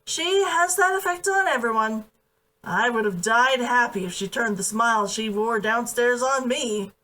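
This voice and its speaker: A woman's voice: a little high, a little slow, pushed and bright. A woman voicing an admiring, wistful innkeeper's son in a fantasy storybook reading. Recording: voice distant from the mic.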